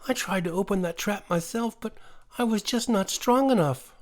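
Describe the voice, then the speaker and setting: A man voicing a small, frightened creature with jagged teeth, pleading in a high, panicky whine. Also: moderate pace, lightly acted.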